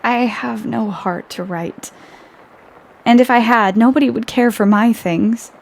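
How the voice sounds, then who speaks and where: A woman acting in an audio play as an outspoken young woman writer, earnest and expressive. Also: on the high side, unhurried, creaky, a little hiss or hum, poor audio. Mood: wistful, lonely.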